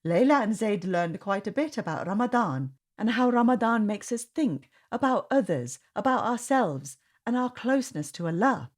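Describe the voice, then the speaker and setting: A woman reading a storybook aloud to children in an expressive, sing-song way. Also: medium pitch, moderate pace, hardly any pauses. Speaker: storytime reader. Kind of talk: children's read-aloud narration.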